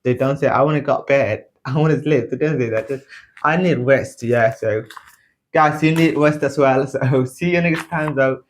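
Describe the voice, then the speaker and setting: A man from Somalia with a Somali accent speaks casual, halting learner English to camera, repeating phrases as he practises. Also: mid-range voice. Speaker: English learner and video blogger.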